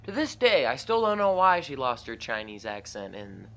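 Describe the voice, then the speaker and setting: A man's voice: mid-range voice, sing-song, normal speed, vocal fry, fairly bright tone. A man giving casual commentary as host of a video game playthrough. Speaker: let's play host. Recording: faint background noise.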